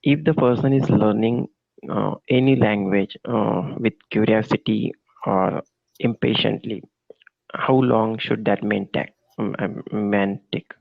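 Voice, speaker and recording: A man from India with an Indian accent, learning English in a casual practice conversation, polite and halting with repeated words. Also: fairly low pitch, steady tone, creaky, no room sound. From India, Maharashtra. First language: Ahirani. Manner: polite, halting non-native phrasing with repeats. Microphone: online call. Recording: dull lo-fi call audio.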